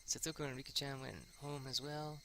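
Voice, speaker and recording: A man's voice: medium pitch, flat delivery, fairly quick, bright, forceful tone, slight background noise. A man reading a visual novel aloud as amateur narrator, informal, stumbling over words and rereading them. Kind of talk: first-person story narration. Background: music.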